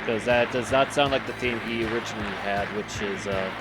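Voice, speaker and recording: A man's voice: on the low side, a little fast, a loud crowd, low-quality recording. Joking Minnesotan man with game music behind. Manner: joking, teasing, rambling. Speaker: stream commentator. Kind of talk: casual game commentary with friends.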